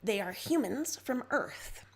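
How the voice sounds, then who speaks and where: A stubborn young alien emperor from a read-aloud story, acted by a woman, easily flustered and defensive. The voice is fairly high and husky, with a creaky edge and a grumbling manner. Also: expressive, slow, exaggerated voice. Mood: matter-of-fact. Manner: huffy, defensive.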